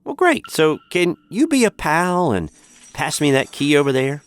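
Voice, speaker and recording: An actor playing a bewildered, polite American captive in a comic radio sitcom. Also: male voice, on the high side, big pitch swings, moderate pace. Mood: surprised. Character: bewildered, polite American man chained in a dungeon. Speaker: narrator star of the show.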